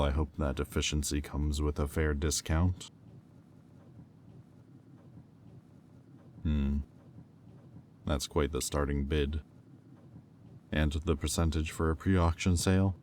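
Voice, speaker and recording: A man playing a haughty, menacing demon in roleplay audio, speaking in a condescending, deliberate, taunting drawl. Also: very deep voice, darkish tone, a little hiss or hum. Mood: cool, businesslike. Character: haughty, menacing male demon buyer, coolly cruel and mocking. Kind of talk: slow, intimate roleplay audio acting.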